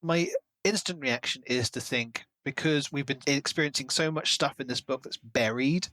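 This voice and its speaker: A male podcast co-host talks through a book in casual conversation. He is analytical and a little wry, speculating as he goes. His mid-pitched voice is recorded dry, with no room sound. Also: moderate pace, sharp tone, no room sound.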